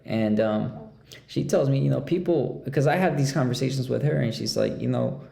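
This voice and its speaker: A young man hosting a casual interview, talking in halting phrases with many restarts. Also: medium pitch, gravelly, mellow, echoey room. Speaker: podcast host.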